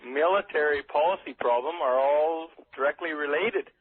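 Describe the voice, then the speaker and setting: A man's voice: a little high, moderate pace, slightly rough, no room sound. Nervous Canadian man on a thin phone line. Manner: hesitant, folksy, jokey. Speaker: caller to a radio show. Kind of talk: casual, rambling call-in chat. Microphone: telephone. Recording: thin narrow telephone line.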